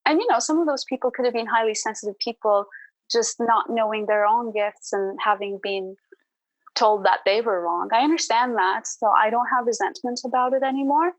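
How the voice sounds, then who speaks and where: A woman's voice: on the high side, moderate pace, dry recording, rough recording. A woman speaking as a podcast guest in a reflective, conversational way, earnest and encouraging.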